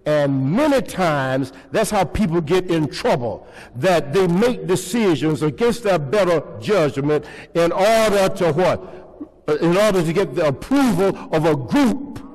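Older pastor preaching slowly in a church. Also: male voice, on the high side, animated, darkish tone. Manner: preaching with call-and-response questions to the congregation. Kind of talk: sermon. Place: large church sanctuary, indoors. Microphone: pulpit microphone. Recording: long reverb of a church hall.

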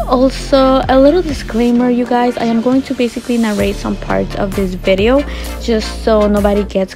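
A woman in her twenties, from Ecuador, narrating her travel vlog in a chatty, earnest voice-over. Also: fairly high pitch. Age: 20s. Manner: chatty, earnest, laughs softly. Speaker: vlogger.

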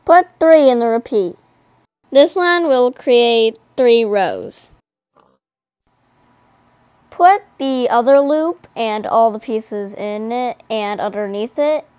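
A woman's voice: fairly high pitch, a little mellow, no echo. A woman narrating a step-by-step coding tutorial in a plain, instructing voice-over. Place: voice-over over screen recording. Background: faint background music.

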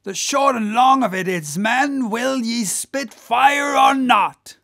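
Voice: mid-range voice, slow pace, gravelly, fairly bright tone, no echo, studio quality, pitched above the performer's own voice, heavily acted. An audiobook reader voicing a boisterous, joking whaleboat mate who goads the crew in salty, exclamatory talk. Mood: rousing, goading. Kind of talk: animated audiobook character voice.

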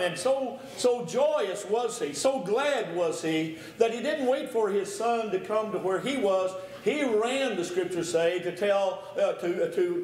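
Older man, pulpit preacher, high-pitched, rises to emphatic peaks, faint hiss behind him. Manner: swells from hushed to loud emphatic stretches. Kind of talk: sermon. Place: church meeting room. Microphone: podium microphone. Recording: echoey church room.

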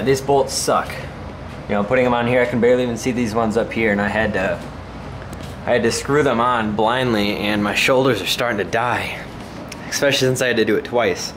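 A man's voice: lowish voice, noisy background. A man giving casual, unscripted vlog narration in an informal, hesitant way, as if thinking aloud. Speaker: home gym vlogger. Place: concrete basement home gym, indoors. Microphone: handheld camera mic, operated by speaker.

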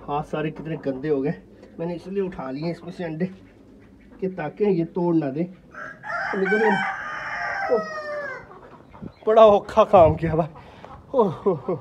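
Young male vlogger, fairly high voice, chatty, loud calls to his birds, outdoor phone recording with clear noise behind. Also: a little slow, pauses often, lo-fi sound. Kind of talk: casual selfie vlog. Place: rooftop poultry yard outdoors, light rain. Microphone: phone held at arm's length.

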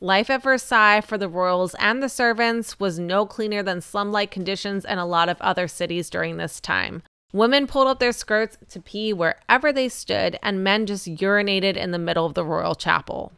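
A woman's voice: mid-range voice, a bit bright, clean tone, no echo, studio quality. A woman hosting a cleaning vlog, narrating a history story in a wry, playful scripted voice-over. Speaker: vlog host.

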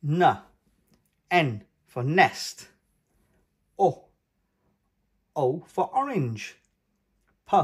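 A man reads aloud for very young children with a lively, widely swinging pitch. His delivery is emphatic and sing-song, mostly unhurried, with a husky edge to the voice. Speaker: children's read-aloud channel host. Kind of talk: read-aloud for toddlers.

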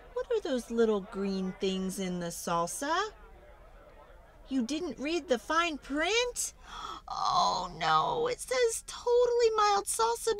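A woman's voice: high voice, a bit bright. A woman reading a children's storybook aloud in a dramatic, theatrical way, stretching words for emphasis.

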